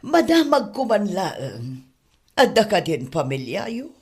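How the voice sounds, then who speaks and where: A woman's voice: mid-range voice, sing-song, takes it slowly, a little mellow. A woman with an Ilocano accent acting a hospitable, chatty employer's wife in an audio drama. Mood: inquiring.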